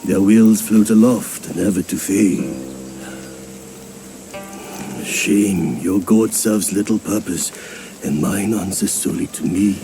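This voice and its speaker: An actor playing a wise, solemn old wanderer who guides spirits in a fantasy game, speaking in measured, poetic phrases. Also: male voice, low-pitched, music in the background. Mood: solemn, cryptic. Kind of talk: fantasy game cutscene dialogue.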